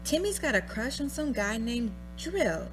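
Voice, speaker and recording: A woman's voice: fairly high pitch, big pitch swings, unhurried, noisy background. A woman playing a chatty, gossipy housewife friend on a telephone call in a radio play. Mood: breezy, amused. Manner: breezy, gossipy, unconcerned.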